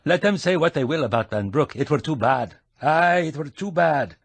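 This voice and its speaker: A man's voice: fairly high pitch, animated, normal speed, breathy edge, dry recording, voice pushed up. A man voicing a chatty, gossipy male shopkeeper with a put-on Scottish brogue. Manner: teasing, gossipy, rolling brogue.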